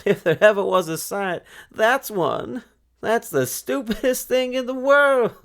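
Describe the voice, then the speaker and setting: A spoiled, scornful storybook girl, acted out by a male reader, whining in folksy rural speech. The voice is pushed up high and swoops around with lots of expression. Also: lively intonation, a little slow, a bit bright, exaggerated voice. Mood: loud mocking.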